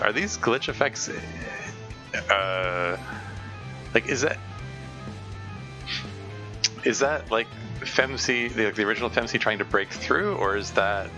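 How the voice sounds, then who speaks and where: A man casually commenting while playing a visual novel, rambling and correcting himself, pitching his speech up from his natural voice. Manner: rambling, self-correcting, speaks in upper register. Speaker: let's player. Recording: game music behind.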